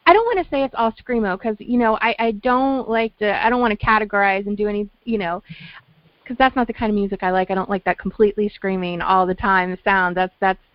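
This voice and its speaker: A woman's voice: mid-range voice, fairly quick, no echo, lo-fi sound. A woman hosting a radio show, chatting to a band on the line with rambling restarts. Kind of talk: radio interview.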